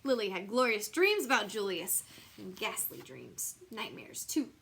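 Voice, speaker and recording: A woman reading a bedtime story aloud in an expressive, playful way, with asides to the listener. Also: medium pitch, unhurried, vocal fry, a little hiss or hum.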